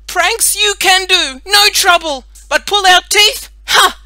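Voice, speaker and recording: Lady doing a worked-up farm maid in a kids story, high and piercing, loud dramatic wailing. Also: slow, no room sound, heavily acted. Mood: furious, scornful. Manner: dramatic wailing and indignant shouting. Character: hot-tempered, tearful farm maid, wailing and scolding. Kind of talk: children's audiobook character voice.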